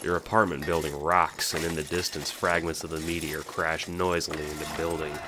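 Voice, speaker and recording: A man's voice: very deep voice, little pitch movement, fairly quick, noisy background. A man reading scripted second-person narration for an audio drama.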